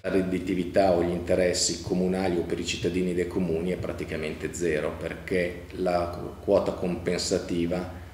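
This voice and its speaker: Man, 40s, formal, very low pitch, faint noise. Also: level tone, normal speed, a little mellow. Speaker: local administrator. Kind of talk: formal interview statement. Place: office indoors. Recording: roomy office, faint background noise.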